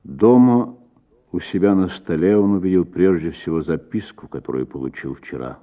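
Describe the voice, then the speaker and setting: A man reading a literary short story as expressive, theatrical audiobook narration for radio, with deliberate pauses and a husky voice. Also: deep voice, narrow, tinny audio.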